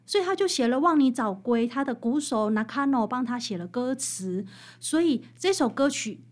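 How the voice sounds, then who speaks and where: A woman guest with a fairly high voice and a Taiwanese Mandarin accent talks in an interview. She explains things conversationally and with animation, building her account through vivid examples. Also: lively intonation, moderate pace. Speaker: interview guest, author and researcher of old songs.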